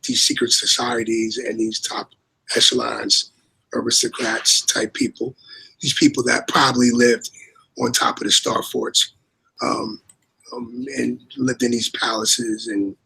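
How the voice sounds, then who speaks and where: Casual co-host, man, husky and gravelly, rambling delivery. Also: medium pitch, bright, forceful tone, poor audio. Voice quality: raspy voice. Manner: rambling, repeats words, many fillers. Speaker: co-host and moderator. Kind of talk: casual livestream chat.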